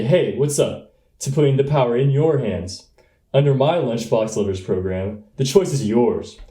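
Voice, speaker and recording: A man's voice: medium pitch, mellow, natural voice. Young man as pompous schoolboy candidate, roomy echo. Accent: American. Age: young adult. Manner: pompous politician-style speechifying. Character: brash young boy running for student president. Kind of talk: school radio play, mock political debate speech.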